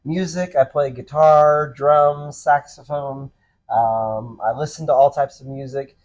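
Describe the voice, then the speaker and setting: A man's voice: on the high side, steady tone, measured pace, darkish tone. A man in his thirties co-hosting a podcast, talking in an easygoing, earnest way with frequent ums and restarts. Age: 30s. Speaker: podcast co-host, pastor. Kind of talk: casual conversational podcast chat.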